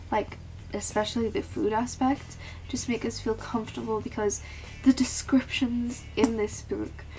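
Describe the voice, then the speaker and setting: A teenage girl talking casually about books in a vlog, with a calm, flat-toned and slightly awkward way of speaking. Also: fairly high pitch, low-quality recording. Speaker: book vlogger. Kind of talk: casual book tag vlog.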